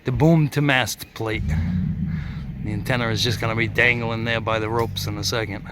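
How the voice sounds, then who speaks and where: A man's voice: mid-range voice, steady tone, moderate pace, heavy background noise. A man gives casual on-the-spot commentary as he films outdoors on a handheld camera. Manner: chuckles at his own remarks. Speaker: camera operator and helper narrating a vlog. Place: outdoors at the foot of an antenna tower.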